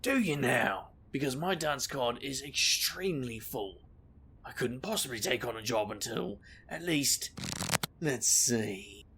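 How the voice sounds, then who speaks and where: A man playing a boastful, scheming supernatural investigator in an audio drama, wry, glib and theatrical, with a gravelly, husky voice. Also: medium pitch, expressive, unhurried, a crowd in the background. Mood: eager hustling. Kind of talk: audio drama narration and dialogue.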